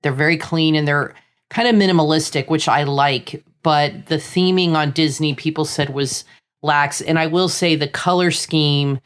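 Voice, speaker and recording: A woman's voice: low voice, fairly even intonation, normal speed, clean tone. A middle-aged woman from Florida, co-hosting a casual chat and running through opinions in a chatty, opinionated way. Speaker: co-host.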